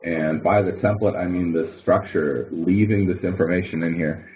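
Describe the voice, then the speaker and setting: Low-voiced man on a tinny call. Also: normal speed. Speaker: instructor running a help webinar. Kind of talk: informal webinar walkthrough, explaining to students. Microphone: online webinar audio connection. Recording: narrow, tinny online-call audio.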